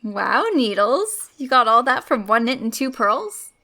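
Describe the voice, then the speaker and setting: A woman's voice: fairly high pitch, animated, a little slow, sharp tone, exaggerated voice. A woman playing a cheeky, wisecracking sidekick, flippant and wry, in a comic sci-fi audio drama. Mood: wry, teasing.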